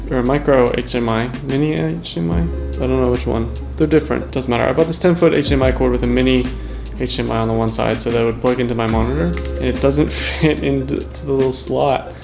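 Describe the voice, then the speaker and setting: An echoey home recording of a young man with a mid-pitched voice, picked up away from the mic with music behind him. He talks casually through his filmmaking setup, rambling and poking fun at himself. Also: gravelly. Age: young adult. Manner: rambling, self-deprecating, slangy. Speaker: vlogger and filmmaker. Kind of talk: casual behind-the-scenes video chat. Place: small home kitchen and living room, indoors.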